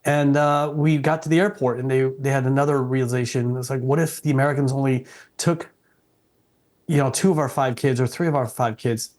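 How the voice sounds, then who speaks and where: Earnest middle-aged man with flat delivery. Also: mid-range voice, brisk. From South Vietnam. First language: Vietnamese. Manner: earnest, respectful, frequent restarts. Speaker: guest. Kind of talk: interview.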